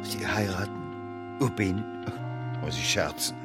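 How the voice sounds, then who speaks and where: An actor playing an evasive, teasing, cynical gentleman in a German radio drama, flippant and mocking. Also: deep voice, measured pace, music in the background. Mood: incredulous.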